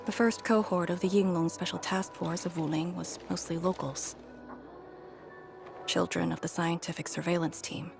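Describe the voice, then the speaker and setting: Solemn, low-voiced woman commander. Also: steady tone, gravelly. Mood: somber, wistful. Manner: grave, measured, formal delivery. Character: solemn, battle-worn woman commander mourning fallen comrades. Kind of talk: dramatic video game story dialogue. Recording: game music behind the voice.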